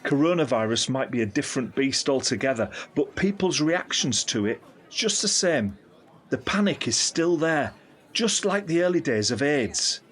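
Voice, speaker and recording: An actor playing a candid, caring middle-aged uncle in an audio drama, speaking in an earnest, reflective, explanatory way. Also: male voice, medium pitch, slightly rough. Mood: troubled, indignant. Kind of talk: scripted audio drama dialogue.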